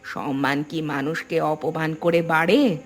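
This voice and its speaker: A kind-hearted rich man's wife played by a woman in a cartoon drama. She chides gently, over soft plucked-string music. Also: deep voice, fairly even intonation, unhurried. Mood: reproachful. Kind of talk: scripted cartoon dialogue.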